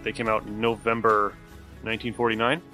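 Guy doing a podcast intro, bright voice, faint music under him, casual. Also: lowish voice, little pitch movement, fairly quick, rough recording. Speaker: podcast host.

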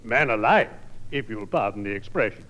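Male undertaker, acted, courtly, pompous, ghoulishly cheerful, animated pitch, old radio comedy, noisy aged broadcast. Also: normal speed, close to an everyday voice. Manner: formal, pompous, macabre-genteel. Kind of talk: 1950s radio comedy acting.

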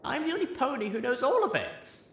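A weak, feverish young mare calls out high and breathless, as a male narrator puts her on. Her voice bounces widely in pitch, and it rings in a roomy space. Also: sing-song, a little fast, gravelly, fairly bright tone, slight background noise, full character voice. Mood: remembering. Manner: pleading, breathless. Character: small young female pony heroine, weak and feverish.

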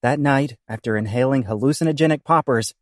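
A man's voice: medium pitch, a little fast, no echo, clean studio sound. A man giving scripted comic narration as part of an audio comedy cast. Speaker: podcast cast member.

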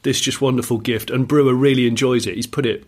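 A man hosting a podcast in casual chat, hoarse and rambly. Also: mid-range voice, steady tone, quick pace. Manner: rambling, giggly. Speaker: podcast host.

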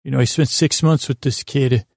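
A man hosting a bedtime podcast in a gravelly voice, rambling in a halting, meandering, self-deprecating way. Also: medium pitch, fairly even intonation, darkish tone, no echo, clean studio sound. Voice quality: gravelly, creaky. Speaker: podcast host.

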